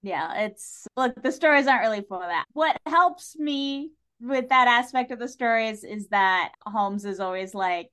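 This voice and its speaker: A woman with a fairly high voice talks casually as part of a podcast panel. She has a wry way of joking while she discusses things. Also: lively intonation, unhurried, hardly any pauses, a bit bright, clean tone.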